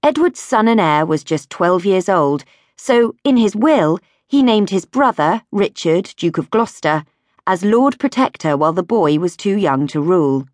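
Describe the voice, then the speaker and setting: A woman reading a history audiobook as a scripted narration, in a measured, clearly phrased storytelling manner. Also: fairly low pitch, animated, darkish tone, dry recording, clean studio sound. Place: recording studio.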